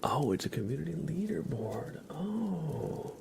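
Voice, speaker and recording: Soft spoken asmr streamer guy, slow n whispery, bit of gravel, chatting casually. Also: very animated, a loud crowd. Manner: soft-spoken, slipping between whisper and low murmur. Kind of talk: ASMR, casual livestream chat.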